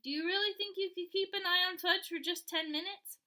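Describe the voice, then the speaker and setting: Storybook mom, woman reader doing a fretful doting mother, bright tone. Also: very high voice, fairly even intonation, a little fast, pitched above the performer's own voice. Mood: anxious. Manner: anxious and fussing. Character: fussy, doting mother of two boys.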